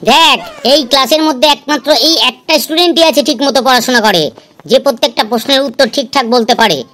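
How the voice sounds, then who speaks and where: A woman's voice: high voice, fairly quick, fairly bright tone. A woman playing a stern, exasperated schoolteacher who scolds and lectures pupils in an amateur cartoon dub. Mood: scolding.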